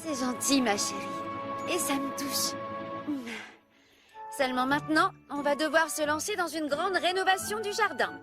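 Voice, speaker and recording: A woman playing a gentle, warm-hearted mother in a French-dubbed children's cartoon, tender and expressive. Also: sits high, moderate pace, over music, natural voice.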